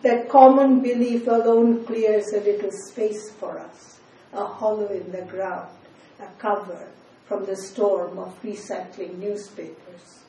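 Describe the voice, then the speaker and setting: Older woman reading poetry slowly in an echoey room. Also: mid-range voice, steady tone, a little hiss or hum. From India. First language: Bengali. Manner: slow, measured reading with soft, trailing line endings. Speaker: poet. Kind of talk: poetry reading with spoken asides. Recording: distant, echoey room with faint ambience.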